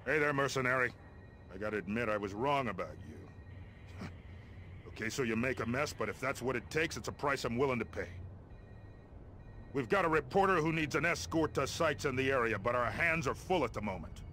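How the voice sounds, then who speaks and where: Gruff American colonel for a military game; mid-pitched, blunt, wry, impatient commander, faint background noise. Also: male voice, lively intonation, normal speed, pushed and bright. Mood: brisk, businesslike. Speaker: army colonel, commander giving mission briefings. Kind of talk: video game character dialogue.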